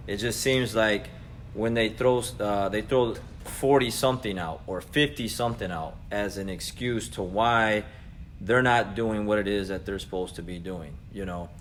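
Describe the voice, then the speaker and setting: A man's voice: fairly low pitch, moderate pace. An American man in his forties giving a casual motivational talk as a coach, conversational and earnest, speaking directly to his listeners. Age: 40s. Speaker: jiu-jitsu coach and vlog host. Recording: clearly noisy background ambience.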